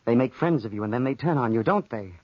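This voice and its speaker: A man's voice: mid-range voice, animated, fast talker, no room sound, lightly acted. Taunting soldier played by a man, muffled vintage radio. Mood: fascinated, amused. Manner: taunting, theatrical. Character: stranded soldier, cruel and teasing, fascinated by a panther. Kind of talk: 1940s radio drama acting.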